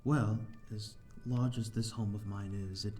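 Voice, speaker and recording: A man's voice: fairly low pitch, steady tone, measured pace, warm tone, faint music behind, natural voice. A man playing a courteous, lonely vampire of an old manor in an ASMR audio roleplay, speaking in hesitant, formal, old-fashioned phrases. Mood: wistful, reflective. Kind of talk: slow ASMR audio roleplay, addressing the listener.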